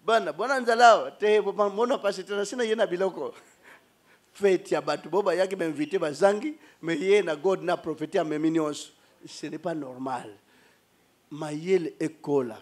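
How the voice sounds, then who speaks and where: A middle-aged Congolese man preaches with lively energy, his voice sitting high for a man. He speaks into a stand microphone in a church hall, switching between two languages and gesturing as he goes. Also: moderate pace. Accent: Congolese French. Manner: animated, mixing Lingala and French, gestures while preaching. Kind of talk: sermon. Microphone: handheld mic on a stand.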